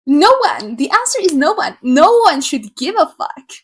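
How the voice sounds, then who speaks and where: Animated, sarcastic young woman from Slovakia. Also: sits high, moderate pace. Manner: sarcastic, animated, swears freely. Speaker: YouTuber. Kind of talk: opinion rant to camera.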